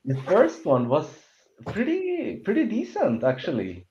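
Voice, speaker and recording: A man's voice: high voice, wildly swooping pitch, measured pace, darkish tone, a little hiss or hum. A man in a casual panel chat about opinions, rambling and stammering as he often restarts his sentences. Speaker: panelist on a film discussion show. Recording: dull, muffled lo-fi audio.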